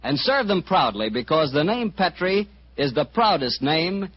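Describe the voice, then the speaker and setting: A man's voice: a little high, sing-song, unhurried, slightly rough. A man with an American accent announcing in polished, salesmanlike 1940s radio style. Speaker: announcer and sponsor pitchman. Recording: muffled, hissy old radio broadcast.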